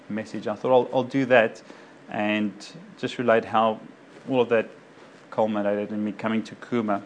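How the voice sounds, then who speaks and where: Guy, a doctor, chatting to a crowd, lots of "you know" and restarts, bit of background hum. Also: on the low side. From South Africa. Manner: rambling, many fillers and self-corrections. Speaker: doctor speaking to a local community group. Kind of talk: informal personal talk to an audience.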